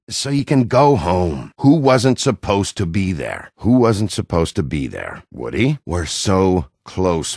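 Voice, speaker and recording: An actor playing a gruff, hot-tempered werewolf detective in a video game, a middle-aged man with a gravelly, husky, blunt, intimidating delivery. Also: lowish voice, no room sound, studio quality, exaggerated voice. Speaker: interrogating lawman.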